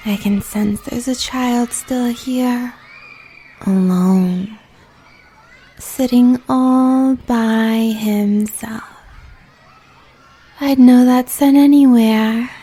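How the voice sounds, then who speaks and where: Predatory female spirit, British, husky and dark-toned, menacing and amused, for audio drama. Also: on the high side, fairly even intonation, heavily acted. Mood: menacing amusement. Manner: slow, menacing, breathy drawl with whispered phrases. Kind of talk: comic audio drama acting.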